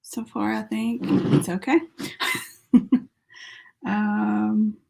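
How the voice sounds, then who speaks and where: Slow-talking woman with a sore throat. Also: medium pitch, level tone, vocal fry, warm tone, poor audio. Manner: chatty, thinks aloud while working. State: sore throat, losing her voice. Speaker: artist hosting a live painting stream. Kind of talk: casual live painting tutorial.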